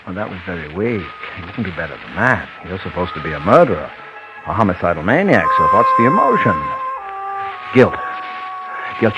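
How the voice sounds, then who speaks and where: An actor playing a scheming prisoner who feigns guilt-crazed madness, in melodramatic vintage radio drama style, swinging between muttered asides and rants. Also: male voice, lowish voice, poor audio. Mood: self-coaching, intense.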